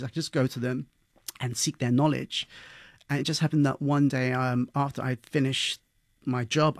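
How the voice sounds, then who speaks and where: A man's voice: mid-range voice, vocal fry, dry recording. British man telling his story, dry studio. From London, England. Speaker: interview guest. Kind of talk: conversational personal storytelling.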